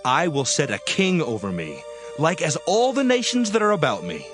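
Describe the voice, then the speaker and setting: A man's voice: a little high, huge pitch swings, moderate pace, music in the background. An actor voicing a bold Israelite man who wants a king, declaiming in a dramatized scripture production. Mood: bold, wishful.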